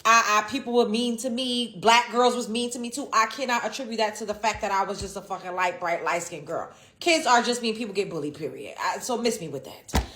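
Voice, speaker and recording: A woman's voice: medium pitch, a little fast, bright, forceful tone, a little hiss or hum. A woman host giving casual, opinionated reaction commentary in an emphatic, sassy manner, leaning hard on stressed words.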